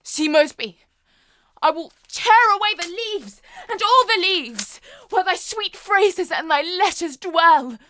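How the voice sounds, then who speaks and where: A woman's voice: extremely high pitch, lively intonation, takes it slowly, bright, forceful tone, pitched above the performer's own voice, heavily acted. A woman performing an anguished, wronged lover in a classical stage tragedy monologue, impassioned and declamatory. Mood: desperate, pleading.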